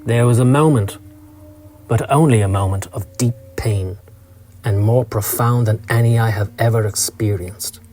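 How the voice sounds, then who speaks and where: Slow, low-voiced male narrator. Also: mellow, a little hiss or hum. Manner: measured, literary storytelling. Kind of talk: scripted first-person audiobook narration.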